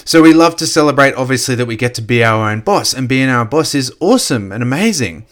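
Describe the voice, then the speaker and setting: A man with a slightly croaky voice giving a casual motivational business talk, full of "you know" fillers. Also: medium pitch, expressive, brisk, fairly bright tone. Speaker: network marketing coach. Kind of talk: motivational solo podcast talk.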